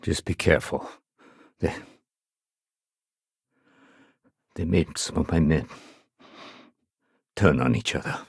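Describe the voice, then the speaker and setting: An actor playing a dutiful, weary security sergeant in a video game, speaking in a measured, official yet conversational way. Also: male voice, a bass voice, vocal fry, breathy edge, no echo, studio quality, full character voice. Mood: grave, urgent. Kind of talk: video game dialogue acting.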